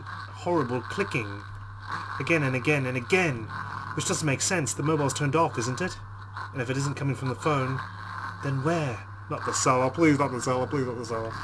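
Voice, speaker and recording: A man hosting a let's play reads a visual novel's text aloud with dramatic emphasis. His voice sits at an ordinary pitch. Game music plays clearly behind him. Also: poor audio. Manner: dramatic reading.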